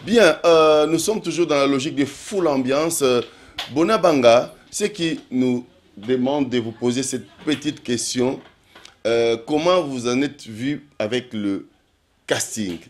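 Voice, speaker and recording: A man's voice: mid-range voice, a little slow. A man hosting a television interview, asking long winding questions. He hesitates often and drops into Lingala phrases in between. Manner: lots of "euh" hesitations, mixes in Lingala. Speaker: TV show host.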